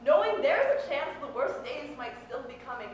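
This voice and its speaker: High-pitched woman performing slam poetry, very echoey. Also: very animated, normal speed, lo-fi sound. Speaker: spoken-word poetry performer. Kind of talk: slam poetry recitation, dramatic and earnest. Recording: very echoey room, distant mic, faint noise.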